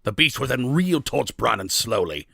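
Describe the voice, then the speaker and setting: A man's voice: medium pitch, very animated, brisk, gravelly, sharp tone, a little hiss or hum. A man narrating a scripted fantasy story as the narrator of an audio drama.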